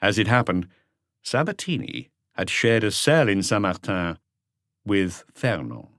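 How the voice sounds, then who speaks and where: Low-voiced male audiobook narrator. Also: very animated, unhurried, fairly bright tone, dry recording, studio quality. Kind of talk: scripted crime story narration.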